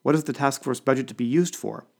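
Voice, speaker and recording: A man's voice: medium pitch, quick pace, gravelly, darkish tone, slightly rough, voice pushed up. A man voicing an irritable senior male diplomat in an audiobook, terse and disdainful. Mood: probing.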